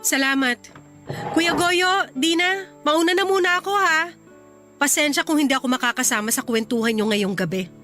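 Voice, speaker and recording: High-voiced, reserved young wife. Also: female voice, moderate pace, bright, forceful tone, faint music behind. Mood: polite. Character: reserved, mildly prickly young wife hosting guests. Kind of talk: radio drama acting.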